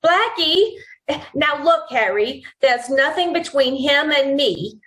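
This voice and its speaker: A woman's voice: high-pitched, animated, takes it slowly, a bit bright, exaggerated voice, poor audio. A woman playing a nervous, placating gangster's girlfriend in 1940s radio style. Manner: hesitant, placating. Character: nervous, pleading female gangster's moll.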